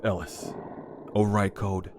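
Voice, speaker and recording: A man's voice: lowish voice, level tone, unhurried, noisy background, natural voice. A man playing a cold, treacherous special instructor in a sci-fi horror audio drama, clipped, commanding and matter-of-fact. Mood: coldly matter-of-fact. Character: cold, treacherous male special instructor, briskly apologetic killer.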